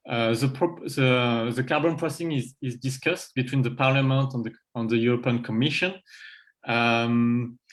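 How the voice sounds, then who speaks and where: A man with a French accent presenting a climate proposal on an online panel, halting and full of fillers. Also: medium pitch. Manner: halting, many fillers and restarts. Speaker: campaign presenter.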